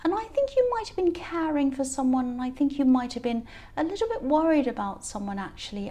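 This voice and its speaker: A woman with a tired, croaky voice, giving a casual tarot reading in a rambling, hesitant way, reassuring and earnest, with many fillers and restarts. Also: on the high side, warm tone. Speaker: tarot reader.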